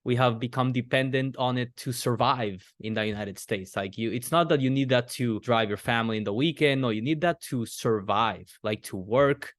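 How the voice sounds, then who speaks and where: A man's voice: medium pitch, no echo, clean studio sound. A man hosting a podcast, speaking earnestly and with strong opinions in casual conversation. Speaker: podcast host.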